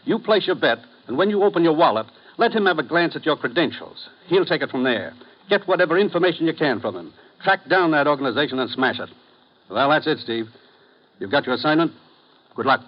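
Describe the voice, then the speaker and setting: Brisk no-nonsense commissioner. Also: male voice, a little high, expressive. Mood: briefing. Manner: crisp, businesslike and clipped. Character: brisk authoritative male commissioner giving orders. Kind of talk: 1950s radio drama acting. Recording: lo-fi 1950s radio transcription.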